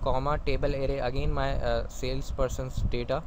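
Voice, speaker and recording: A man giving a spoken software tutorial in a halting manner, pausing often to hesitate as he explains each step. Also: mid-range voice, level tone, background noise. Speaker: instructor. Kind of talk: spoken software tutorial over screen recording.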